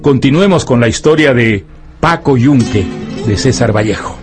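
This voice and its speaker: A man's voice: medium pitch, animated, moderate pace, music in the background. A man making a scripted programme announcement as a radio announcer.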